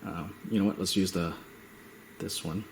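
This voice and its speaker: A man giving a casual hands-on product demonstration as a reviewer, speaking in a halting, matter-of-fact way. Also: deep voice, steady tone, gravelly. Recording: roomy echo, slight background noise.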